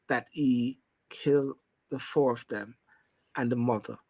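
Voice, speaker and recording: A man's voice: mid-range voice, fairly bright tone, no echo. A man hosting a casual rum-bar style talk show, rambling and repeating himself as he loses his thread.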